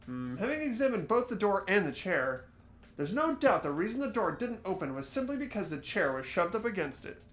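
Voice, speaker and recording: A high, lively male voice acted by a man as an earnest teenage sleuth in a visual novel game. He thinks aloud, reasoning carefully with some hesitation. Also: fairly quick, roomy sound, faint music behind. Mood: thoughtful, deducing. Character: earnest, hesitant teenage boy amateur sleuth, narrating thoughts.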